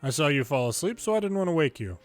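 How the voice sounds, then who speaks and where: A man reading story dialogue aloud from a video game in a playful way, switching pitch between characters and adding his own commentary. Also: sing-song, fast. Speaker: longplay host reading a game's dialogue aloud. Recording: music behind the voice. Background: game music.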